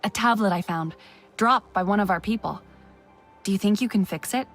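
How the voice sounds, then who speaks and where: An actress voices a young female alien investigator in a game. She speaks in a measured, guarded way at a middle pitch. Her questions are curious and careful, with soft music behind her. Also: lightly acted. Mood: probing. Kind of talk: video game dialogue acting.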